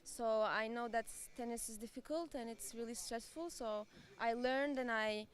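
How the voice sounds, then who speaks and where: A woman in her twenties whose first language is Turkish, answering interview questions casually in a hesitant, soft-spoken way with frequent little laughs. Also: on the high side, normal speed. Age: 20s. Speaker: tennis player, qualifier.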